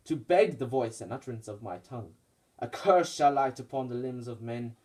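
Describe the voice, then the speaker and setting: A fervent Roman nobleman, acted by a man, full of grief and vengeance. The voice is middle-pitched and declaims theatrical Shakespearean verse for a puppet show with impassioned weight. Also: darkish tone, close to an everyday voice. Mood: mournful, then vengeful fury.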